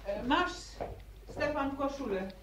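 A woman's voice: sits high, lively intonation, fairly bright tone, a little hiss or hum, heavily acted. An actress playing a tired, practical middle-aged farm mother who sighs and grumbles, in a vintage radio drama. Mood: weary. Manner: sighing, complaining, brisk. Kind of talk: 1950s radio drama acting.

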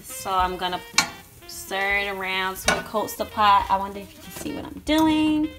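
A woman's voice: mid-range voice, expressive, normal speed. A young woman in her home kitchen, chatting to viewers as she cooks and explains each step. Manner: chatty, addresses viewers as y'all. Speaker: vlogger cooking at home. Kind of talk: casual cooking vlog narration. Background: music and food sizzling.

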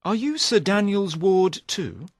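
A man playing an earnest, naive teenage boy hero in a dramatized storybook, with a wondering, expressive delivery. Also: high-pitched, slow pace, slightly rough, dry recording, studio quality, exaggerated voice. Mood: uncertain, questioning. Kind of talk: dramatized audiobook dialogue.

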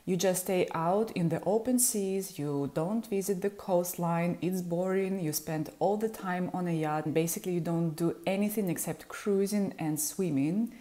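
A woman's voice: on the low side, normal speed, few pauses, dark tone. A woman in her thirties from Ukraine with a Ukrainian accent, a yacht charter specialist speaking friendly and explanatory to camera in a small room at home through an earphone mic. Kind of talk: informative vlog talk to camera. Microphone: earphone mic, webcam framing.

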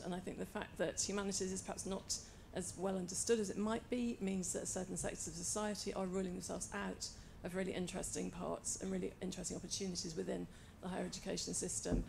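Gravelly-voiced woman academic. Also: mid-range voice, fairly even intonation, a little mellow, a little hiss or hum. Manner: articulate, thoughtful, measured. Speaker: academic audience member. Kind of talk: panel discussion remarks. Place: lecture hall, in the audience.

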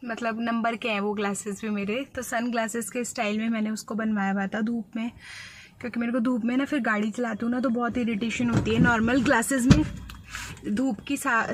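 A talkative woman in her thirties records a daily vlog on a phone at arm's length, her voice sitting a little high. She rambles easily and coaxes patiently as she guides a child. A steady hiss of background noise runs underneath. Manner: chatty and rambling, coaxing when teaching her son. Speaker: vlogger and mother. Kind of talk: casual daily vlog chat. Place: in a car, then at home indoors. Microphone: phone held at arm's length.

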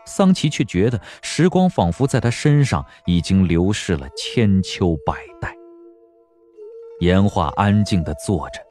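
Deep-voiced, expressive male story narrator. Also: a little slow, faint music behind. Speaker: audiobook narrator. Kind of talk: dramatic scripted narration of a historical novel.

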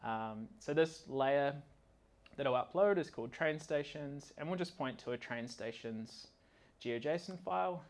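A man presenting an informal technical demo at an indoor meetup, speaking casually and a little haltingly as he works through the software. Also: on the high side. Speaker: conference speaker, software consultant. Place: indoor meetup venue with large windows and projector screen.

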